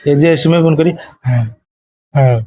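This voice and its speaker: A man's voice: on the high side, brisk, dark tone, no echo. A man chatting casually and intimately on a call, in colloquial, teasing banter. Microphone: phone video call. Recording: dull, lo-fi call recording.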